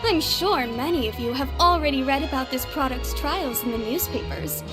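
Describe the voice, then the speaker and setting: A woman's voice: high-pitched, normal speed, natural voice. A woman playing an enthusiastic, polished shop presenter making a formal, showy promotional announcement in a video game. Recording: music bed behind voice.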